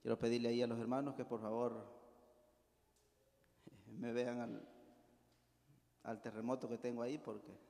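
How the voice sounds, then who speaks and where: A man's voice: medium pitch, flat delivery, moderate pace, vocal fry, long pauses, mellow. A middle-aged Salvadoran man preaching a sermon to his congregation, folksy, often asking the congregation to agree with him as he tells a homely story. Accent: Salvadoran Spanish. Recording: long reverb of a church hall.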